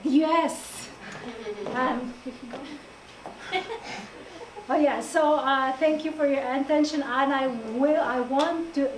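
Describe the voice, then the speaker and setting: A woman from Morocco presenting to an audience in a halting way, with many fillers and frequent laughs. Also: sits high, fairly even intonation. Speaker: guest presenter. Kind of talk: informal talk to an audience. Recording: echoey room, distant mic, faint noise.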